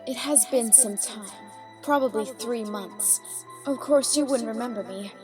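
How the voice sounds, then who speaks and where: Woman as apologetic female pony, earnest, regretful, slightly high, music behind, audio drama. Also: unhurried, lightly acted. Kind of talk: fan audio drama acting.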